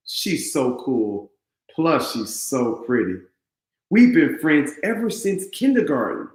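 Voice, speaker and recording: A man voicing an excitable, affectionate eleven-year-old boy in an animated, earnest read-aloud of a children's storybook. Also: fairly high pitch. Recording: roomy echo.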